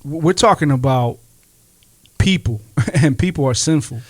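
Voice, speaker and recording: A man hosting a casual faith podcast, laid-back and quick to laugh as he leads the topic. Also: a little high, no echo. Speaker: podcast host.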